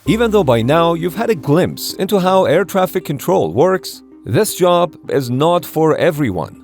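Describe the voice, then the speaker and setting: A man narrating an explainer in a scripted documentary style, with a measured, explanatory delivery. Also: a little high, a little mellow. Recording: background music under voice. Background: music.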